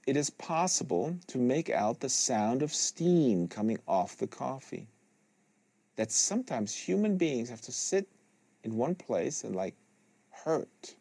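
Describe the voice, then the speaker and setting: A man's voice: medium pitch, mellow, no room sound. Man reading literary prose, very dry sound. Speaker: host reading a novel aloud. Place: small studio with acoustic foam panels. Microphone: close studio microphone.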